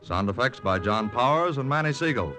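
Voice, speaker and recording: A man's voice: mid-range voice, animated. Male vintage radio announcer over music. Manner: formal, measured. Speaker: radio announcer reading credits. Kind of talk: scripted 1940s radio announcing.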